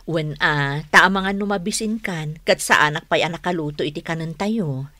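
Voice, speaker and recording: A woman's voice: low-pitched, normal speed, pushed and bright, no echo. A woman in an Ilocano radio drama playing a dutiful, apologetic household cook. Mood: apologetic, concerned.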